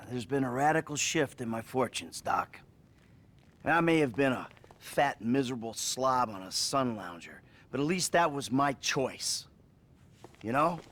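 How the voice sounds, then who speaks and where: An actor playing a weary, defensive middle-aged ex-criminal who talks bluntly and swears, in a video game cutscene. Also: male voice, medium pitch, expressive, measured pace, a bit bright. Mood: rueful. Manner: blunt, profane, self-mocking. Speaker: therapy patient.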